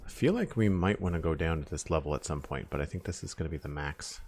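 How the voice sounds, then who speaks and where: A young man with a deep voice chatting casually on a live stream, thinking aloud as he builds, into a desk mic at home. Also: quick pace, background noise. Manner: rambling, thinking aloud, counts blocks under his breath. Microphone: desk microphone with headphones.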